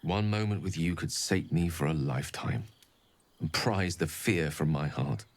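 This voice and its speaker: A deep-voiced eloquent romantic wizard. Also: male voice, moderate pace. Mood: tender. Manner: articulate, formal, tender. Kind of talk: game voice acting. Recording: game audio with soft music behind.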